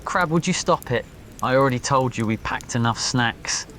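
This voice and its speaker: A proud, prickly wizard schoolboy, acted out by a man, speaks in a medium-pitched voice for a story roleplay. He mutters and hesitates, awkward and smitten under the pride. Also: moderate pace, fairly bright tone, close to an everyday voice. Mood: irritated.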